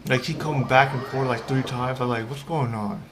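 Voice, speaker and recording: A man streaming a video game, reacting casually in halting, informal speech with game music behind him. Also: medium pitch, fairly even intonation, background noise. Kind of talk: casual reacting and rambling over gameplay.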